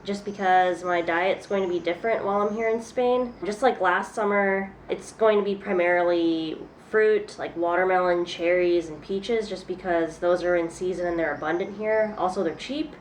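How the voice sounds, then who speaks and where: A young woman talks in a casual, upbeat way, her voice a little stuffy from congestion. She sits slightly low in pitch and laughs easily between sentences. Her camera mic picks her up in a small apartment kitchen with a faint hum behind her. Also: normal speed, hardly any pauses, low-quality recording. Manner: chatty, upbeat, laughs often. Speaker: vlogger. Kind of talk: casual cooking vlog.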